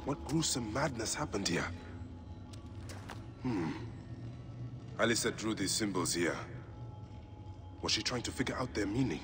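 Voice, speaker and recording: A low-voiced, grim avenging warrior. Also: male voice, expressive, unhurried, pauses often, over music. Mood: pondering, grave. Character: grim, determined avenging warrior, a grieving father. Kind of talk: dramatic video game acting.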